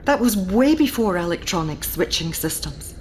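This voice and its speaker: A woman's voice: fairly low pitch, lively intonation, moderate pace, a little mellow, close to an everyday voice. Veteran woman hacker turned consultant, acted, middle-aged, self-assured and wry, faint noise behind. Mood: wry, confident. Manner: wry, confident, explanatory. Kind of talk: conversational interview answers in audio drama. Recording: faint event background noise.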